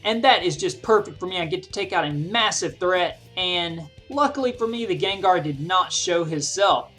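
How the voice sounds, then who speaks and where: Gaming commentator, mid-range pitch, conversational, explanatory play-by-play, music in the background. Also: expressive, normal speed, fairly bright tone. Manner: conversational, explanatory, thinking through moves aloud. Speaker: gaming video creator commentating own battle. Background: music behind the voice.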